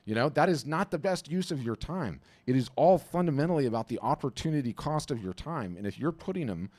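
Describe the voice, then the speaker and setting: A man's voice: fairly high pitch, lively intonation, brisk, darkish tone, dry recording. A middle-aged man hosting a live business webinar, talking emphatically and hammering points home with repetition. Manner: emphatic, preachy, repeats phrases for punch.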